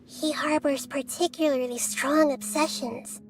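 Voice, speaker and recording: A woman voicing a calm, inquisitive young girl-like deity in a fantasy game, soft, measured and analytical. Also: high-pitched. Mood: pensive. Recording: music behind the voice. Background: game music.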